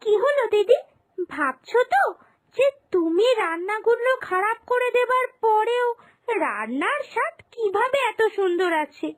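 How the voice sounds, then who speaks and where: A woman voicing a feisty, scheming younger daughter-in-law in a children's cartoon, taunting and expressive. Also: extremely high pitch, unhurried, dry recording, full character voice. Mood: smug, taunting. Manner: taunting, sharp-tongued, expressive. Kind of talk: Bengali moral-story cartoon dubbing.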